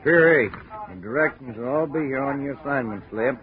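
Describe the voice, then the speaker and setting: An actor playing a busy, nosy hiring-hall clerk in a radio drama, casual and distracted. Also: male voice, mid-range voice, a little fast, natural voice. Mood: nosy, helpful. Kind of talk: 1950s radio drama acting. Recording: tinny old radio recording, faint music.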